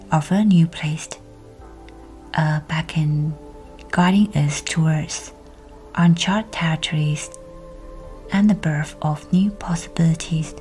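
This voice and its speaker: A woman leading a scripted guided meditation in a hushed, breathy, near-whispered voice, soothing and measured. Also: deep voice.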